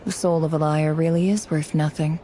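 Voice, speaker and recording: A guarded young spy, voiced by a woman speaks in a low, dark, mellow voice, cool and measured. Her tone broods with bitterness, and faint ambience lingers behind her. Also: steady tone, a little hiss or hum, close to an everyday voice. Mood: brooding. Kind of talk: video game dialogue.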